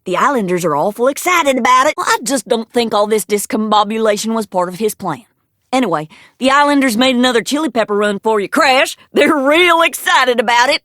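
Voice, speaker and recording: An actress playing a folksy, plainspoken adventure guide in a cartoon game, with a drawling American Southern accent. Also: female voice, a little high, lively intonation, fairly quick, fairly bright tone, no echo, studio quality. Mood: suspicious, plainspoken. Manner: folksy, drawling, colourful country sayings. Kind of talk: cartoon video game voice acting.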